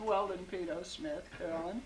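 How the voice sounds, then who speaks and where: A woman's voice: lowish voice, moderate pace, noisy background. A woman of seventy, playful and laughing as she introduces herself. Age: 70s. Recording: crowd chatter behind.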